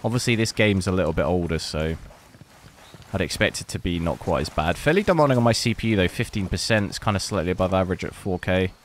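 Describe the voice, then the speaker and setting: Brief: hoarse man, lowish voice, rambling gameplay commentary, faint noise behind. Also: fast. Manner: relaxed, rambling, thinking aloud. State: hoarse, losing his voice. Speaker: PC gaming benchmark channel host.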